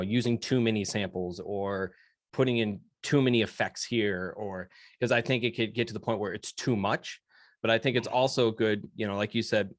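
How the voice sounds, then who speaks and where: A man's voice: lowish voice, dry recording, clean studio sound. A man hosting an interview, easygoing and affirming, using many fillers. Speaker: interview host. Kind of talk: casual interview chat.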